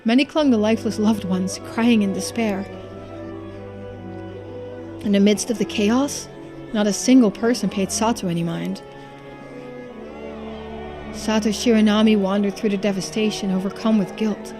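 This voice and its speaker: A woman's voice: medium pitch, moderate pace, pauses often, music in the background. A woman streamer reading dramatic scripted narration from a story game.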